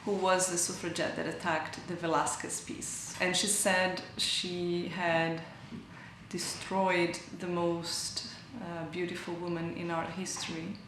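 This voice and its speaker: A woman's voice: lowish voice, little pitch movement, takes it slowly, fairly bright tone, slight background noise. A woman artist from Brazil explaining her work thoughtfully, searching for words and correcting herself. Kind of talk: interview about her artwork. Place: large gallery hall indoors. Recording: echoey gallery hall.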